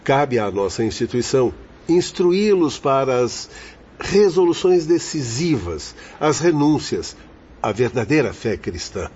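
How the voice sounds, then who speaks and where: A man's voice: mid-range voice, very animated, a little slow, darkish tone. An actor playing a learned, solemn fatherly doctor and spirit guide in a radio drama, speaking formally and didactically. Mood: solemn, instructive. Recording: dull lo-fi audio with faint noise.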